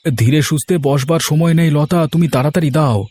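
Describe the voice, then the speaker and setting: A man voicing a hardworking, hurried village farmer in a cartoon, urgent and insistent. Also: a little high, a little mellow, dry recording, close to an everyday voice. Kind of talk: Bengali cartoon moral story acting.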